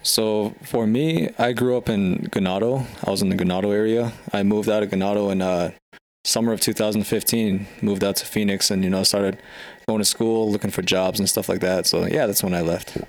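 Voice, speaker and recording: Young male musician, low voice, loose chatty answers. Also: fairly even intonation, fairly quick. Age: young adult. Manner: chatty, leans on "you know". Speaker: band member. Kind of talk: casual interview answers.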